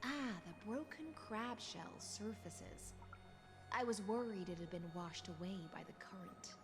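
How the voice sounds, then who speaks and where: An actress voicing a languid, menacing sea-born noblewoman in a video game, soft and unhurried, teasing and coolly philosophical. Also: mid-range voice, music in the background, close to an everyday voice. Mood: nonchalant. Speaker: game character.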